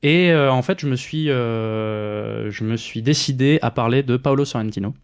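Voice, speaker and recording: A man's voice: mid-range voice, moderate pace, smooth. A man co-hosting a casual film podcast, talking at length with many hesitations and restarts. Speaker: podcast co-host.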